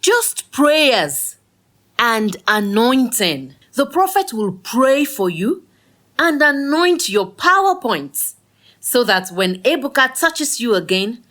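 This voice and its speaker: Brash young Nigerian woman, meddlesome and teasing, very animated pitch, slow emphatic delivery, audio drama. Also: full character voice. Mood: persuasive. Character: bold, teasing, meddlesome young woman pushing prophet's prayers.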